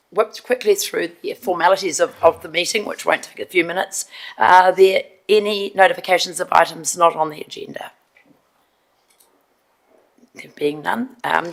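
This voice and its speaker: Hoarse, low-voiced New woman chairing. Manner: steady, procedural, with many ums and repeated words. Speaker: committee chair. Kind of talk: chairing a council meeting. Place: council meeting room.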